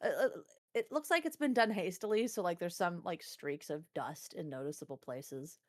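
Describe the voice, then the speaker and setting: A woman with a slightly low voice takes part in a tabletop game. She describes scenes off the cuff in loose, informal speech full of "like". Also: normal speed. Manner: frequent "like", informal. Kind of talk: improvised casual scene description.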